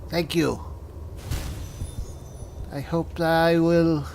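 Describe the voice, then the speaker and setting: A man's voice: fairly high pitch, little pitch movement, very slow, creaky, darkish tone, a little hiss or hum. Man roleplaying through a fantasy game. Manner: polite, musing aloud, reads spell descriptions out. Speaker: gaming video host and player. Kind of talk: in-character roleplay mixed with game commentary.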